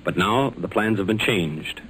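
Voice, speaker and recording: Bright-voiced 1940s American radio announcer, man. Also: fairly low pitch, animated, measured pace. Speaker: radio announcer and story narrator. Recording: noisy old 1940s radio recording.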